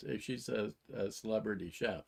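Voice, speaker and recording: A man with a lowish, gravelly voice joins a relaxed live chat. He drops in quick remarks and corrections alongside the main speaker, keeping it conversational. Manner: chimes in with short remarks and corrections. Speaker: livestream co-host. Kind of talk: casual chat.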